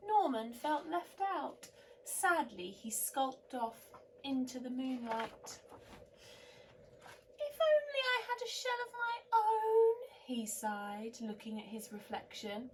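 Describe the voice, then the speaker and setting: Woman teacher, unhurried animated read-aloud, slightly higher voice, hissy home recording. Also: low-quality recording. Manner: animated and expressive, with soft asides. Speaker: teacher reading a bedtime story. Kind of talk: picture-book read-aloud to children.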